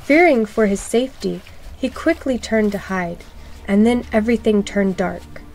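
A woman narrates a children's storybook at an average pitch. Her read-aloud delivery is expressive and clearly phrased for young listeners, with faint music behind her. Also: moderate pace.

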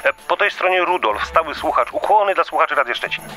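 A bright, forceful voice for a chatty, burnt-out reindeer acted by a man in a radio play. He is self-important and grumbles like a wronged former star, with some music behind him. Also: a little high, animated, fast talker. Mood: polite, eager. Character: talkative burnt-out male reindeer, aggrieved ex-star. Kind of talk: radio play as a phone-in caller.

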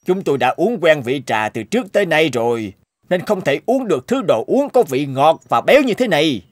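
A man's voice: a little high, sing-song, brisk, no room sound, clean studio sound. A man playing a grumpy, indignant old teahouse regular in a cartoon, complaining and scolding. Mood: disapproving. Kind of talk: Vietnamese cartoon dub.

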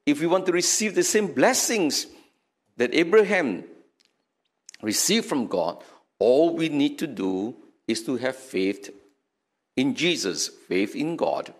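A man's voice: fairly high pitch, big pitch swings, slow pace, long pauses. An older man with a Singaporean accent, an archbishop giving an earnest sermon into a stand mic in a small chapel. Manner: earnest, emphatic, preaching with hand gestures. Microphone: stand mic in front.

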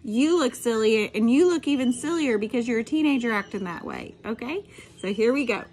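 A woman's voice: a little high, expressive, brisk, a little mellow, slight background noise. A middle-aged woman reading a picture book aloud expressively, with instructive asides spoken directly to students. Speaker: special education teacher reading aloud.

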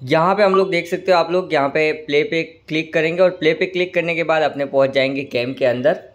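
Gaming host, male, somewhat high voice, a little hoarse, casual buddy-style play-by-play. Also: quick pace. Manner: chatty, keeps calling viewers "brother". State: slightly hoarse throat. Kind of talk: casual gameplay commentary.

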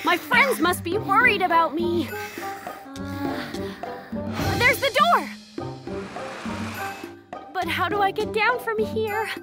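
A curious little talking bus from a children's cartoon. The boyish voice is extremely high and takes its time, full of wide-eyed exclamations. Loud music plays behind it. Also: female voice, expressive, slow pace, long pauses, full character voice. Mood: determined. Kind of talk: children's animated series acting.

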